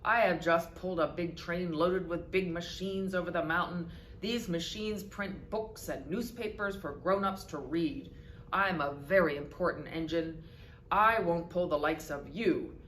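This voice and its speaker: A woman's voice: fairly low pitch, measured pace, fairly bright tone. A woman voicing a big, strong, self-important freight engine from a storybook, pompous and indignant. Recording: roomy echo with background noise.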